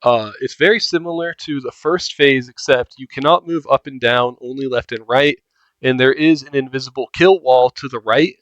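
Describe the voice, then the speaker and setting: A man's voice: medium pitch, normal speed, fairly bright tone, no room sound, dull audio. A man with a mid-range voice narrates a video game guide. His delivery is informal and conversational, addressed straight to the viewers as he walks them through the gameplay. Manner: informal, talking directly to viewers. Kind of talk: casual video game walkthrough commentary.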